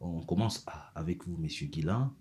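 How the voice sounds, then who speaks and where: Low-voiced man hosting an interview. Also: normal speed, warm tone. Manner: probing, repeats questions. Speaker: host. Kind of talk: political interview.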